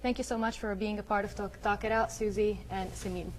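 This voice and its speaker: A woman's voice: medium pitch, steady tone, a little fast, slight background noise, poor audio. A woman hosting a talk show, chatty and joking with her guests, with many fillers and easy laughs. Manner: friendly, laughs often, filler-heavy. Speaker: host.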